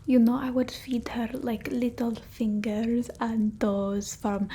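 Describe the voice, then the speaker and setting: A woman voicing an eccentric centuries-old female vampire in an ASMR roleplay, speaking softly and often whispering, close to the mic. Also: on the high side, flat delivery, a little hiss or hum. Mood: wistful. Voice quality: soft, breathy, often whispered. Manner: halting, theatrical, with quaint phrasing. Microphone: close ASMR microphone.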